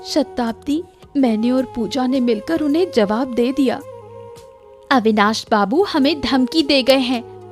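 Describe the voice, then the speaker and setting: A woman voicing an earnest, polite young village stallkeeper in a cartoon moral story. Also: sits high. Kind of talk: animated moral story dialogue in Hindi. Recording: music behind the voice.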